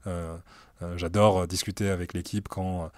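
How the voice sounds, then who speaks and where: A man in his late thirties speaks with a low, deep voice, calm and reflective, with frequent pauses as he works through his ideas. The sound is close and dry, with no room echo. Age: late 30s. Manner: relaxed, thoughtful, many hesitations. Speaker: music director at a streaming platform, guest. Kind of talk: casual conversational interview. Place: meeting room at the streaming company's office.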